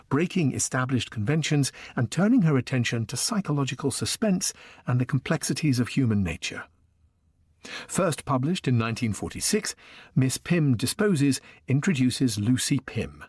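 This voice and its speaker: Casting: male announcer, mid-pitched, husky, very varied intonation, dry close recording, scripted introduction. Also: a little mellow, no room sound.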